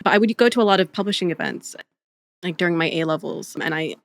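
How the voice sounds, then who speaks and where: A young woman, a literary translator, telling her story in a chatty, animated way with lots of fillers. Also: fairly low pitch, fast, no room sound. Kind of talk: casual conversational interview.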